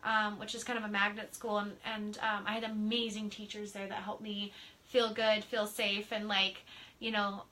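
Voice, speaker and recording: A woman with a high voice, a psychic guest in a casual interview, chatty and laughing as she tells her life story. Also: little pitch movement, normal speed, sharp tone, poor audio. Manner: chatty, laughing, acts out her younger self.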